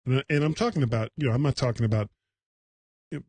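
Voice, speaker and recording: A man hosts a tech livecast on his own in a close, dry recording. His pitch sits in the middle of the male range. He talks casually, stopping and restarting in a halting, rambling way, with a self-deprecating streak. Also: a little mellow, husky, no room sound. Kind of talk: casual solo livecast talk.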